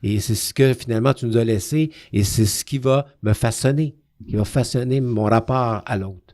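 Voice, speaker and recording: A man hosting a radio interview about film, chatty and quick to laugh, often repeating words as he talks. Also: mid-range voice, a little mellow. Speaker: radio host.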